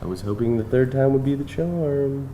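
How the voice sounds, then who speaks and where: Man acting smooth-talking businessman, persuasive, earnest, live table read, roomy, noisy background. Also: mid-range voice, moderate pace, mellow, lightly acted. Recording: roomy live event, clear background noise.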